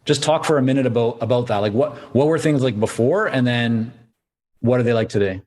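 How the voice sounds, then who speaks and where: A man's voice: mid-range voice, brisk, darkish tone. A man from Canada hosting a podcast interview, asking long, thoughtful questions in a conversational way. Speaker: podcast host.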